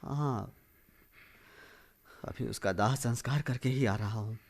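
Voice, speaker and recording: An earnest, weary village schoolteacher. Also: male voice, mid-range voice, slow pace, creaky. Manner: earnest, repeats words for emphasis. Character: weary, selfless village schoolteacher and young father. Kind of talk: Hindi radio drama acting.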